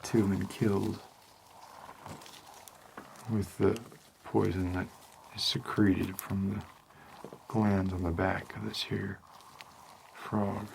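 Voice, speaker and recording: Deadpan detective guy man doing asmr roleplay, super slow and hesitant, gravelly, noisy recording. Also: low-pitched. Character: deadpan, world-weary male homicide detective.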